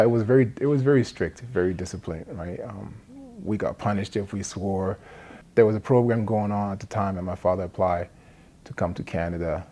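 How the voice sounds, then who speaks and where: A middle-aged man from Liberia gives a personal testimony in a halting, plain-spoken way, often adding "you know". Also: sits low, warm tone, husky. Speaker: addiction recovery centre support worker. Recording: noticeable background noise.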